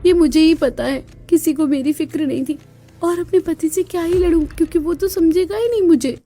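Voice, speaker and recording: A woman's voice: very high voice, fairly quick, dark tone. A woman voicing an unhappy, complaining young daughter-in-law in a Hindi cartoon story. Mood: hurt.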